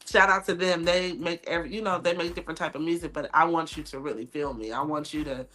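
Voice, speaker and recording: A woman's voice: deep voice, steady tone, fast. A young adult woman, a musician, chatting openly in a casual podcast interview and laughing often. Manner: laughs often, warm and open. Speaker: guest musician.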